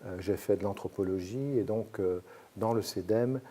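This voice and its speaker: A man from Belgium, a sociologist explaining his research in measured, hesitant sentences. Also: on the low side, mellow. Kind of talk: academic interview answers.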